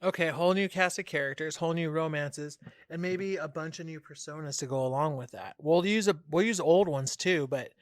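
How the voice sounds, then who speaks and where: A man's voice: fairly high pitch, fairly quick, no room sound, clean studio sound. A man co-hosting a casual brainstorming chat, speaking informally and emphatically with some swearing. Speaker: podcast co-host.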